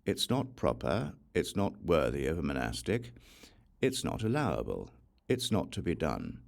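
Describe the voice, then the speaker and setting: Male narrator for religious audiobook; gravelly, lively intonation, deliberate scripted delivery. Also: extremely low pitch. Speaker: audiobook reader.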